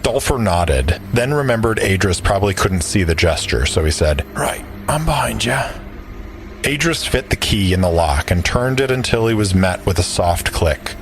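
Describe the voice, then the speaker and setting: A male narrator with a low voice tells a scripted story in audio drama style. Music plays clearly beneath his words. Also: animated, normal speed, few pauses. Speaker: narrator and player.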